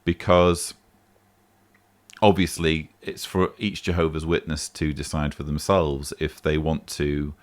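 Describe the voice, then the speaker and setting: A man's voice: rumbling low, little pitch movement, a little slow. A man hosting a vlog, answering a voicemail in emphatic, argumentative casual commentary. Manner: emphatic, argumentative, rising sharply on key points.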